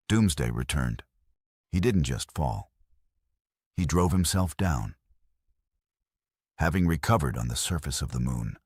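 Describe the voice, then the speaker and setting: A man's voice: a bass voice, darkish tone, husky, no echo, clean studio sound. A man giving a solemn, scripted dramatic narration of a fiction story. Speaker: audio novel narrator.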